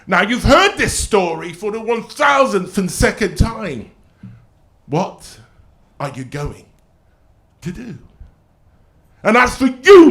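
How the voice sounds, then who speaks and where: A man performs spoken-word poetry in a declamatory style. His pitch swings widely and he delivers each line slowly and deliberately. He builds to loud climaxes.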